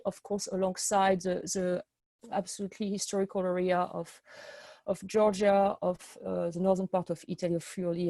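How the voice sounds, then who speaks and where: Middle-aged french lady running a wine webinar on a video call, mellow voice, lots of uhs and restarts. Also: medium pitch, no echo. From France. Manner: chatty and enthusiastic, with frequent fillers and restarts. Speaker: sommelier and webinar host. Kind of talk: online wine seminar presentation.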